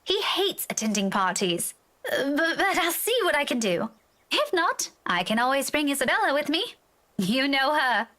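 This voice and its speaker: A woman's voice: high voice, very animated, moderate pace, pushed and bright, voice pushed up, exaggerated voice. A woman voicing a dutiful young adult daughter in a visual novel, light and reassuring. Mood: light, agreeable.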